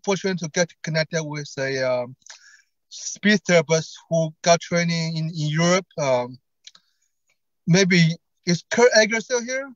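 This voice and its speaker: A man's voice: on the high side, animated, a little slow, a bit bright, dry recording, lo-fi sound. A man who stutters and often repeats words, speaking in a conference talk as co-founder of a stuttering association. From Taiwan.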